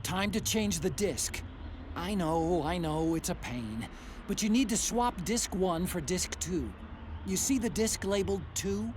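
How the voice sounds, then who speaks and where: Geeky excitable helper in a video game, voice jumps around a lot, chatty, slight background noise. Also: male voice, sits high, measured pace. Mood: flustered, excited. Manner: chatty, animated. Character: nerdy, excitable male tech-support sidekick. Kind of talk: comic video game dialogue.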